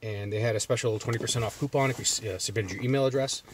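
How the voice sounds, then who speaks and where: Casual man with a Boston accent, mid-pitched voice, talking from a parked car in a loose, rambling vlog style. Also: very fast, slight background noise. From Massachusetts. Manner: rambling, loose, with many fillers and restarts. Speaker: jerky reviewer.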